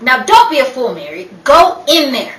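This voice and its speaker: A woman's voice: high voice, big pitch swings, moderate pace, a bit bright. Lady playing a gushy busybody rich wife, old radio drama, really swoopy, echoey room. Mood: urgent meddling. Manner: theatrical, gushing. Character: meddling, gossipy high-society wife feigning concern. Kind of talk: 1930s radio play acting. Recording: echoey room, distant mic, faint noise.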